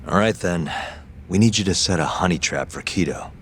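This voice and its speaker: An actor voicing a cool, confident, scheming private detective in a video game dub, casual and matter-of-fact. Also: male voice, low-pitched, normal speed, fairly bright tone, slight background noise. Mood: businesslike. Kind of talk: English game dub acting. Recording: music playing behind the voice.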